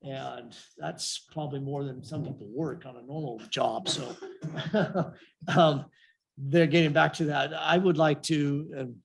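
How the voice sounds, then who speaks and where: A man chairing a city council meeting, speaking haltingly with many restarts and fillers. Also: fairly high pitch, steady tone, vocal fry.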